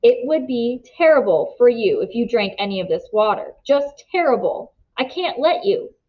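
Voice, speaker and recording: A woman reads as a seventeen-year-old storybook boy, easygoing yet guarded. He speaks informally and earnestly, with a very mobile pitch. The sound is a touch dull. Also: very animated, normal speed. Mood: alarmed, pleading.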